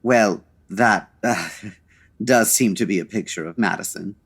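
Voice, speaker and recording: An actor playing a suave, dry-witted 1940s gentleman detective, urbane and measured, in an old-time radio style. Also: lowish voice, vocal fry, husky, full character voice. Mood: flustered, wry.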